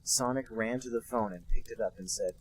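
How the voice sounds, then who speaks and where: A man co-hosting a podcast, reading a bad fan story aloud in a deadpan, mock-serious way. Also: lowish voice, brisk, poor audio. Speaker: podcast co-host reading a fanfic. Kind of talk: comic dramatic reading.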